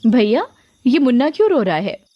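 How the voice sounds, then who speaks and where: A woman voicing a gentle, caring young aunt in a story, pleading and respectful. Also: on the high side, very animated, normal speed, lo-fi sound.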